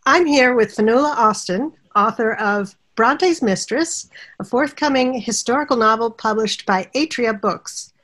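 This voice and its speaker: A woman's voice: on the high side, a little slow. A woman hosting a podcast, welcoming her guest and asking questions in an enthusiastic way. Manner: enthusiastic, warm welcoming host. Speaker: podcast host. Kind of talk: podcast interview.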